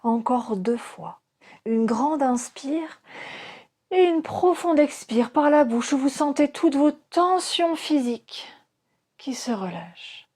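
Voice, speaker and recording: Slow, soft-edged, mellow woman guiding relaxation. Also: high-pitched. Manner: calm, soothing, instructing in short phrases with pauses. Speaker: yoga nidra teacher. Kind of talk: guided relaxation meditation.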